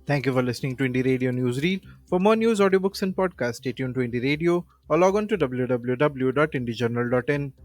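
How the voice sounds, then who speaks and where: A man's voice: medium pitch, fast, hardly any pauses, dry recording, faint music behind. A man with an Indian accent reading scripted news bulletins as a radio presenter.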